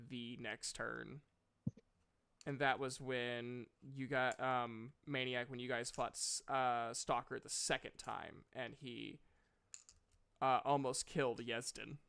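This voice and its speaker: A man's voice: medium pitch, steady tone, takes it slowly, gravelly, a bit bright, clean tone. A man casually narrating and refereeing a tabletop game as game master, with a self-conscious, apologetic manner.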